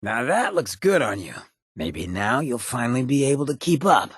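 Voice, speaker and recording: An actor voicing a cocky, roguish, streetwise ghoul companion in a video game, with a very raspy, gravelly voice and a sly, laid-back swagger. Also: male voice, mid-range voice, very animated, normal speed, dry recording, clean studio sound. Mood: cocky, coaxing.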